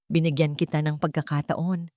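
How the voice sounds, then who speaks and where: A woman's voice: deep voice, level tone, moderate pace, darkish tone, dry recording, studio quality, lightly acted. Woman voicing a cold, accusing billionaire man. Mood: bitter, controlled. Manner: controlled, accusatory.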